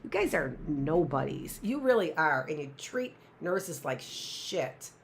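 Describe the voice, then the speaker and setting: A woman's voice: sits low, big pitch swings, slow pace, a little hiss or hum. A middle-aged woman teaching a live online class, rambling with sarcastic asides and talking to herself.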